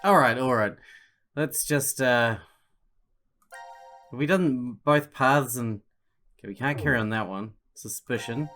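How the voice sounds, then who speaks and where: A man's voice: mid-range voice, unhurried, long pauses, fairly bright tone. A man giving casual gameplay commentary, thinking aloud and swearing freely. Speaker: gaming streamer. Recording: game music faintly behind.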